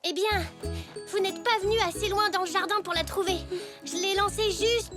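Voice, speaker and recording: A performer voicing an excitable young zebra child in a French children's cartoon, with expressive childlike delivery. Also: very high voice, normal speed, music in the background. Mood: chatty.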